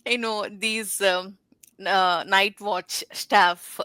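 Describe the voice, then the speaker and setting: Bright-voiced woman chatting about books. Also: mid-range voice, steady tone, drawn-out words, clear, resonant tone, no echo. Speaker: book discussion panelist. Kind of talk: casual chat about books.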